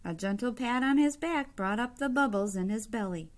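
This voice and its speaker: A woman's voice: a little high, lively intonation, moderate pace. A woman reading a picture book aloud like a teacher, explaining as she goes and putting simple questions to young listeners.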